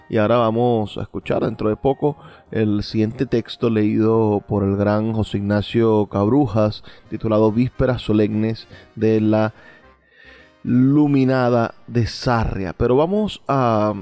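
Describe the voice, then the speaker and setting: A man's voice: fairly low pitch, dark tone. A man with a Venezuelan accent presenting a radio programme about music and composers. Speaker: radio host.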